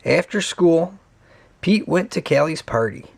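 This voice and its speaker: A man narrating a children's read-along story in an expressive, swooping storytelling manner. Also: fairly high pitch, measured pace, gravelly.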